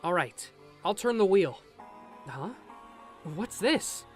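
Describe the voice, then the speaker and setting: A man's voice: sits high, sing-song, takes it slowly, soft background music, full character voice. A young man playing a casual, flippant male college student in an amateur game dub. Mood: casual, focused. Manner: casual, slangy. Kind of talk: amateur visual novel game dub acting.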